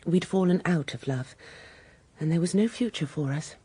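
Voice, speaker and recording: Low, husky British society widow. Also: female voice, normal speed, warm tone, dry recording. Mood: candid, weary. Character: poised, candid upper-class widow, coolly charming. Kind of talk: radio drama acting.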